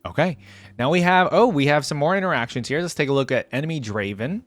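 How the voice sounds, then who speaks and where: A man's voice: fairly high pitch, quick pace, no room sound, faint music behind. A man hosting a reaction video, giving casual off-the-cuff commentary.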